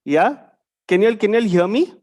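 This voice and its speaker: Guy, high voice, talking to school kids over a video call, keeps saying right?. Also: animated, brisk, darkish tone. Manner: tags sentences with a questioning "right?". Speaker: guest speaker for schoolchildren. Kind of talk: online talk to young students.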